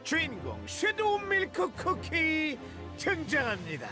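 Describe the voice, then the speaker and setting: A man's voice: very high voice, wildly swooping pitch, slow pace, over music, full character voice. A man voicing a theatrical, villainous trickster cookie in a game cutscene, with showy, mocking, menacing delivery. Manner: showy stage-like delivery, drawling taunts. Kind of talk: scripted video game cutscene acting.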